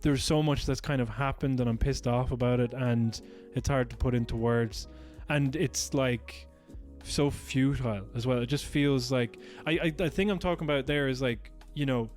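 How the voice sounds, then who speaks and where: A man's voice: mid-range voice, steady tone, normal speed, few pauses, a little mellow, soft background music. An Irish man from Galway co-hosting a podcast, ranting in a sweary, exasperated way. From Galway, Ireland. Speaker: podcast co-host. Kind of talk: casual chat.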